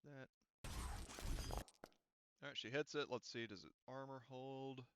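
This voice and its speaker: A man narrating a tabletop roleplaying game as game master in a casual, halting way, thinking aloud as he goes. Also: medium pitch, steady tone, muffled recording, background noise.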